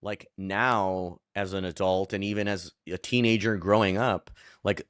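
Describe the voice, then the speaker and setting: A man's voice: deep voice, fairly even intonation, measured pace, fairly bright tone, clear, resonant tone, no echo, studio quality. A man whose first language is Polish, co-hosting a movie podcast in rambling casual chat full of restarts. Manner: rambling, many restarts and fillers. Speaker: podcast co-host.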